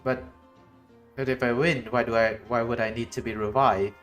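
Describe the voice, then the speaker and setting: A man's voice: mid-range voice, fairly even intonation, normal speed, faint music behind. A man streaming a video game, reacting casually with blunt, puzzled questions.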